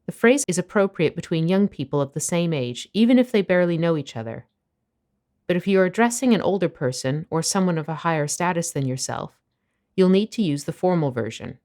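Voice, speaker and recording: A woman narrating a scripted language lesson, explaining phrases clearly and at a measured pace. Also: fairly low pitch, animated, mellow. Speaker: language teacher.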